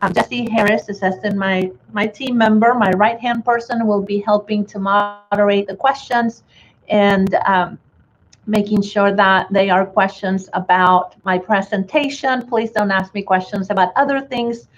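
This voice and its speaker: A woman's voice: medium pitch, steady tone, unhurried. Woman from chile, light accent, chatty webinar talk with lots of ums, slightly dull audio, faint hiss. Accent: Chilean Spanish. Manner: friendly, filler-heavy, restarts often. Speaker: webinar presenter, bookkeeping educator. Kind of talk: webinar presentation.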